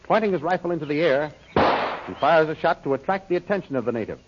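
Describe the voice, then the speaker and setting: A man's voice: a little high, lively intonation, fast. A man narrating an old-time radio adventure serial in a dramatic, scripted style. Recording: dull, faintly noisy old radio recording.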